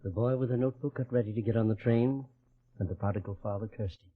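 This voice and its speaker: A man's voice: on the low side, fast talker, slightly rough. A man narrating a radio drama as a solemn, lyrical storyteller, with poetic scripted delivery. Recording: muffled old radio recording, music behind.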